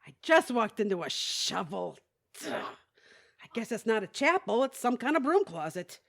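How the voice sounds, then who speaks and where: Animated, kvetching older widow. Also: female voice, on the high side, normal speed, vocal fry, exaggerated voice. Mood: exasperated, wry. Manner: kvetching, folksy, Yiddish-peppered. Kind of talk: audio theatre comedy acting.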